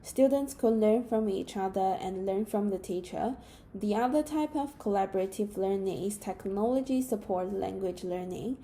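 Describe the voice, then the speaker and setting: Young female student presenter, Mandarin native with Chinese accent, deep mellow timbre, measured read-aloud delivery. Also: slight background noise. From China. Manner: steady, careful reading of a prepared script.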